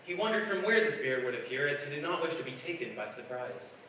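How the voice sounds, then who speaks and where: Male narrator, bright voice, radio play, far off in an echoey hall. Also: a little high, big pitch swings, fast, slight background noise. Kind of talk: scripted narration, 1940s radio style.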